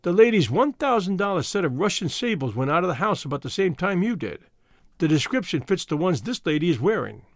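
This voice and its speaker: A man voicing a calm, fair-dealing police detective in a storybook, measured and plain-spoken. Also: sits high, no echo, studio quality. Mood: calm, firm.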